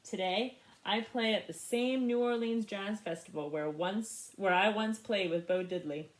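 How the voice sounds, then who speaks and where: A woman reading a picture book aloud in an expressive, animated way for young listeners. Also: mid-range voice, reverberant, rough recording. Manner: animated, pauses to ask young listeners questions. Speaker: storybook reader. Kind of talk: expressive children's read-aloud.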